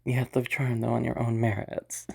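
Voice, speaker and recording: A woman's voice: rumbling low, little pitch movement, normal speed, creaky, dark tone, husky, heavily acted. A woman voicing a cold, calculating rich sociopath who mutters her schemes to herself with dry laughs, frequent whispers and swearing. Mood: scheming. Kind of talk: audio roleplay monologue.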